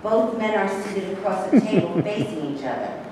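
A woman's voice: mid-range voice, animated, normal speed. A woman reading stage directions as scripted narration. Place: bare rehearsal room, camera at a distance. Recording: echoey hall from far off, clear noise.

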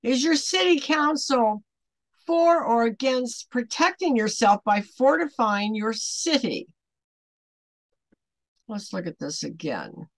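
An older woman in her seventies leads an online Bible study in an earnest, questioning way. She speaks slowly, with lively swings in pitch that stress her points. The sound is dry and close, as in a small room. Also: no echo. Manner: earnest, deliberate, emphatic questioning. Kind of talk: devotional teaching to camera, with pointed questions. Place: small home room, indoors.

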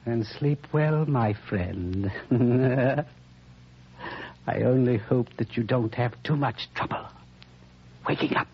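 An actor playing a sly, menacing schoolmaster who talks in a smooth, mocking way, in 1940s radio acting. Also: male voice, medium pitch, slow, pauses often. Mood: gloating. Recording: dull old radio broadcast.

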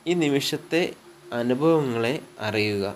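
A man with a Malayali accent guiding sleep hypnosis meditation, soothing and unhurried, pausing between short phrases over relaxing music. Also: mid-range voice, background noise. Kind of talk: guided sleep hypnosis narration. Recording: relaxing music with singing bowls behind.

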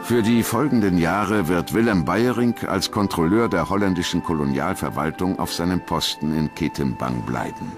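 Male narrator, very low register, solemn scripted documentary read with clearly audible music underneath. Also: normal speed, few pauses.

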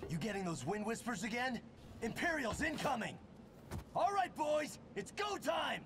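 A brash cocky young soldier. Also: male voice, very high voice, big pitch swings, normal speed, noisy background, full character voice. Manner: brash, crude, teasing. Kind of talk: video game voice acting. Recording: heavy background music.

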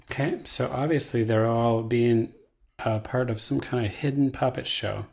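A man giving a casual software tutorial as the trainer, talking in a folksy, playful way. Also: mid-range voice, normal speed, gravelly, faint music behind.

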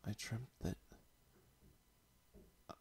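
A man's voice: drawn-out words, a little mellow, slight background noise. A man whispering the lines of a young female rabbit, anxious and tender, with a quivering voice. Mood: upset.

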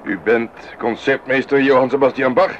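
An actor playing a stern, curt army officer in a radio drama, with a clipped, barking official manner. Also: male voice, medium pitch, fairly even intonation, normal speed, slight background noise, natural voice. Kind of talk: 1980s radio play acting. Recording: dull radio recording with background ambience.

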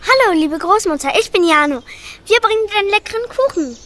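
A woman's voice: very high voice, lively intonation, fast talker. A woman voicing a polite, eager young boy in a children's radio play. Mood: friendly.

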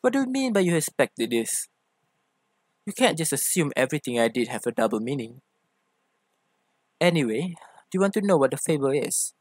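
A man's voice: fairly high pitch, sing-song, moderate pace, pauses often, husky, no echo. Man acting a playful, teasing femboy boyfriend, closely recorded. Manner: theatrical, teasing, coaxing. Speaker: ASMR roleplay voice actor. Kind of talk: ASMR boyfriend roleplay.

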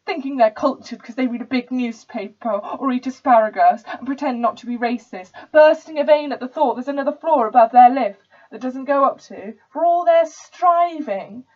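An arrogant young upper-class Englishman rants with sneering indignation, acted out by a young woman. The voice is very high, in a posh put-on accent. It is a stage monologue on a slightly dull, lo-fi recording. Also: distant mic. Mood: bitter outrage. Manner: sneering, indignant, sweary ranting. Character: arrogant posh young male club member, sneering and enraged. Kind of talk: dramatic stage monologue reading.

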